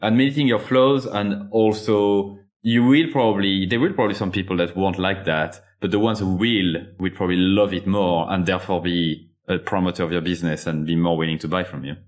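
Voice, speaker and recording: Brief: male podcast host, French accent, low voice, thoughtful interviewer. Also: steady tone, fairly quick, hardly any pauses. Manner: thoughtful, guides the conversation.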